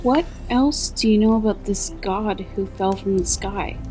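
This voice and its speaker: A woman's voice: medium pitch, a little slow, mellow, soft background music, natural voice. A woman playing an inquisitive, earnest female adventurer in a fantasy game, asking questions in a plain, direct way. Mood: curious, earnest. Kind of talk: fantasy game character dialogue.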